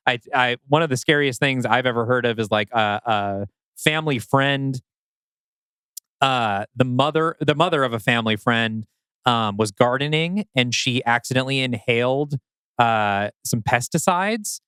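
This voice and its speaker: A man's voice: medium pitch, moderate pace, a bit bright, clean tone, dry recording. A man co-hosting a podcast in casual discussion. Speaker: podcast co-host.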